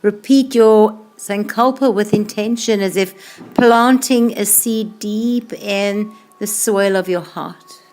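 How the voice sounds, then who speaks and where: A woman yoga instructor leading a guided meditation in a slow, calm, soothing way, with unhurried phrases that trail off softly. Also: mid-range voice. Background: music playing behind the voice.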